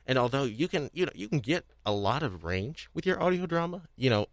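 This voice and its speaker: A man hosting a casual panel discussion, speaking haltingly and often repeating himself as he restarts phrases. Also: mid-range voice, very animated, no room sound. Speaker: podcast host.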